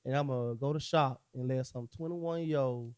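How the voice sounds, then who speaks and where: A man's voice: medium pitch, normal speed, darkish tone, smooth. A man hosting a casual conversation among friends, asking pointed questions and repeating himself for emphasis. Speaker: podcast host. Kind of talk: casual chat.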